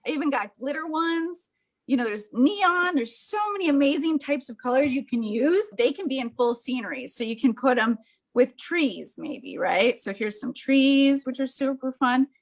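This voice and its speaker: A woman's voice: high voice, lively intonation, moderate pace. An enthusiastic, gushing woman giving a casual, hands-on art demonstration.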